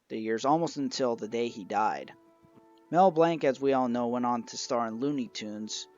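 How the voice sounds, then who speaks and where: A man giving an informal review monologue, with somewhat halting phrasing and occasional stumbles. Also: medium pitch. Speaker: amateur reviewer of old radio shows. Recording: dull lo-fi recording, music behind. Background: music.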